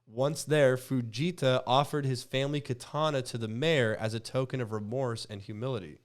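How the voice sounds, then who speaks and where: A man's voice: medium pitch, fairly even intonation, normal speed. A man co-hosting a podcast, chatting casually with joking, sarcastic swearing and reading posts aloud. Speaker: podcast co-host.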